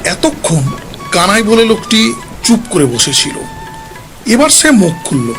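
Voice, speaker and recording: A man's voice: sits high, huge pitch swings, unhurried. A man giving a dramatic scripted narration of a ghost story as storyteller. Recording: music behind the narration.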